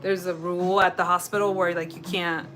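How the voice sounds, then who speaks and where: A woman's voice: on the low side, flat delivery, brisk, a bit bright. American woman livestreaming casually over music. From United States. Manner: reads out chat comments and replies conversationally. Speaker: livestream host. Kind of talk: casual chat with live viewers. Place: home kitchen while cooking. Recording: music playing behind the voice.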